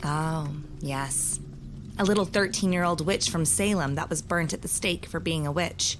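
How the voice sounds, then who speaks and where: A woman playing a wise, hospitable and grave keeper of magical lore, telling things deliberately with old-fashioned phrasing in an audio drama. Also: sits low, slight background noise, lightly acted. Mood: solemn warning.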